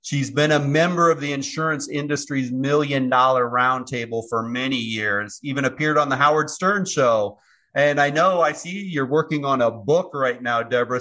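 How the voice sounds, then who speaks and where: A man hosting a local TV interview, introducing his guest and encouraging her with quick remarks and laughs. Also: mid-range voice, pushed and bright, rough recording. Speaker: TV interview host.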